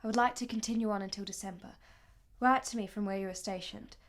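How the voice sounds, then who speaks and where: A woman's voice: medium pitch, steady tone, fast talker, lightly acted. A woman playing an anguished, tender married lover in a radio play, speaking with earnest emotion. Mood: earnest, wistful. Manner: emotional, earnest, dramatic delivery.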